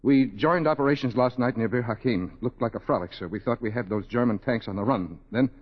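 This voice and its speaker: An actor playing a dazed, feverish British army corporal, exhausted and rambling with grim irony, in 1940s radio style. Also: male voice, medium pitch, brisk, husky, natural voice. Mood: dazed, bitter. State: delirious, exhausted. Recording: muffled vintage radio broadcast, reverberant hall.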